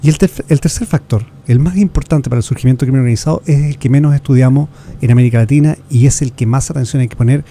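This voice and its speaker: A man's voice: mid-range voice, fast, hardly any pauses, mellow, a little hiss or hum. A Chilean man with a Chilean accent, speaking as a guest expert in an earnest, lecturing way, asking rhetorical questions and restarting words. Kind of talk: explanatory interview talk.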